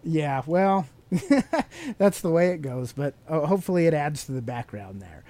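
A man's voice: fairly high pitch, sing-song, moderate pace, warm tone. A man hosting a podcast, teaching motivational ideas in a conversational, explanatory way and putting questions to the listener. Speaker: podcast host.

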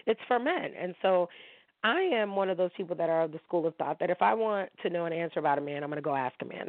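A woman's voice: deep voice, no room sound. Drained woman radio host on a phone line. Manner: candid, emotional, talking straight to listeners. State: emotionally drained. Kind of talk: casual monologue. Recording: dry, tinny phone-line sound.

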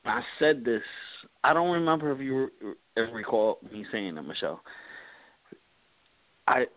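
A man's voice: medium pitch, sing-song, normal speed. Guy calling in, super eager, keeps tripping over his words, phone-line sound. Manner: eager, stumbles and repeats words. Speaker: caller. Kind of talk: call-in chat.

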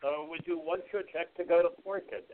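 A man playing a brisk, skeptical newspaper editor in a 1920s radio play, businesslike and questioning. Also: a little high, steady tone, lightly acted. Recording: tinny narrow-band phone-like audio.

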